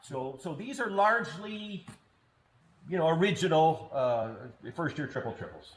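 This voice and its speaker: A man's voice: fairly high pitch, expressive, measured pace, a little hiss or hum, rough recording. A man who collects old machines, eagerly explaining their details in a casual way. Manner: eager, knowledgeable, walks through details. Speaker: guest collector.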